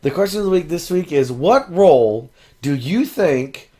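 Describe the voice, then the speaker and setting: Hoarse, laughing podcast co-host guy. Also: fairly high pitch, lively intonation, normal speed, mellow. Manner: laughs often, rambling and loose. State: hoarse, losing his voice. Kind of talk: casual chat.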